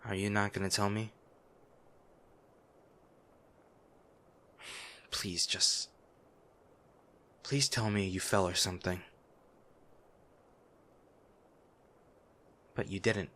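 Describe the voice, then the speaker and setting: A man playing a noble lady's gruff, protective bodyguard, blunt and emotional, in a dramatic fantasy audio roleplay. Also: on the low side, steady tone, normal speed, bright, forceful tone. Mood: alarmed, gentle. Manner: exasperated, emotional, blunt, with sighs. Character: gruff, protective male bodyguard of a noble lady, scolding yet anxious.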